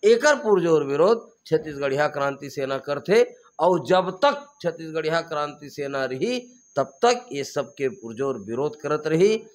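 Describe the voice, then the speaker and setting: A man with a Chhattisgarhi accent speaks with fervent conviction, his voice sitting a little high for a man. He stacks phrase on phrase in rhetorical chains and pushes up hard on the words he wants to land. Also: animated, moderate pace. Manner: fervent, repetitive rhetorical build-ups, rising emphatically on key points. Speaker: activist leader being interviewed. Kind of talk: impassioned political interview answers.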